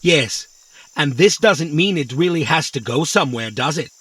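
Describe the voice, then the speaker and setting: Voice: medium pitch, animated, normal speed, fairly bright tone, husky. An actor voicing a naive, literal-minded, friendly little robot in a children's audio drama, earnest and puzzled.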